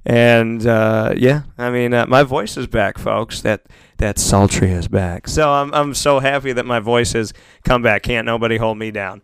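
A man's voice: medium pitch, moderate pace, smooth, dry recording, studio quality. An American man hosting a casual radio show, talking in a rambling, emotional, self-interrupting way.

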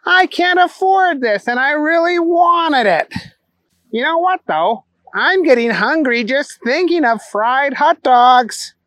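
A storyteller voicing a loud, silly, grubby young man who yells in a high, excitable voice with folksy whoops. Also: slow pace, dry recording, exaggerated voice. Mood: whiny.

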